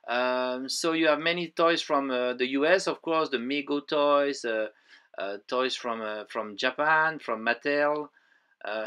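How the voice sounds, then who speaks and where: A man with a French accent, not speaking his native language, narrating a voice-over for his toy collection vlog with many pauses and fillers. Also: mid-range voice, a bit bright, smooth. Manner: halting, many fillers and restarts. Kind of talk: voice-over narration of a museum visit. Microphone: voice-over, close mic.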